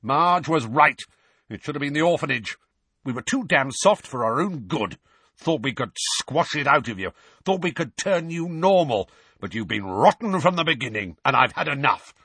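A man as a hoarse, blustering storybook uncle. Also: mid-range voice, huge pitch swings, slow, pauses often, pushed and bright, no echo, pitched above the performer's own voice, heavily acted. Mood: fed up, angry. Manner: roaring, then stammering and flustered. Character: blustering, easily flustered middle-aged uncle.

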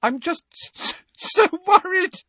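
A man's voice: extremely high pitch, animated, slow, bright, forceful tone, no room sound, pitched above the performer's own voice, heavily acted. A man voicing a distraught, tearful middle-aged mother who sobs and stammers as she speaks. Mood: sobbing, stammering. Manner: sobbing, stammering speech. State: crying. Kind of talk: audiobook character voice.

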